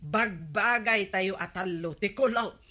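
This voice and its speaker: A woman's voice: mid-range voice, a little slow, sharp tone. A woman with an Ilocano accent playing a gossipy, vain village wife in a radio comedy drama.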